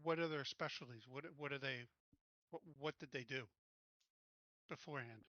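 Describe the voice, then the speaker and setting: A man's voice: mid-range voice, moderate pace, sharp tone, no echo, lo-fi sound. A man chatting casually as a player in a tabletop roleplaying game session.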